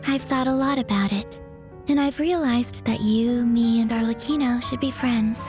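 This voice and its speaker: A woman playing a serene, guileless, quietly teasing young lady in an anime-style video game, speaking in a placid, innocently blunt way. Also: fairly high pitch, steady tone, moderate pace, soft background music, lightly acted.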